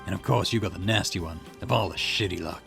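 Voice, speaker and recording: A man acts out a gruff, hard-boiled detective in a visual novel. The voice is fairly low, the manner blunt, slangy and confrontational. Music plays underneath. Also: quick pace, fairly bright tone, heavily acted. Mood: blunt, accusing.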